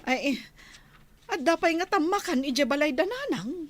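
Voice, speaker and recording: A woman with a Filipino accent acting a poor, put-upon wife in a radio drama, plaintive and weary with sighing, drawn-out complaints. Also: high-pitched, expressive.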